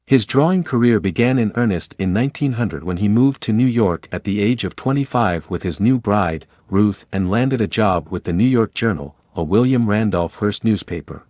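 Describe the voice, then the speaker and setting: A man's voice: fairly low pitch, darkish tone, dry recording, studio quality. A man reading a scripted local history narration as a documentary narrator.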